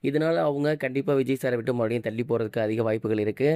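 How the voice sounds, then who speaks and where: A man's voice: medium pitch, very fast, warm tone, no echo, studio quality. A man presenting scripted gossip news commentary, dropping English words into his speech.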